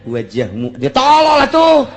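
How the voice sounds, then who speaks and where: A man's voice: very high-pitched, wildly swooping pitch, measured pace, gravelly. A man with a Sundanese accent giving a humorous storytelling sermon as an Islamic preacher, moving between theatrical mock-romantic recitation and colloquial asides. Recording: echoey hall with long reverb.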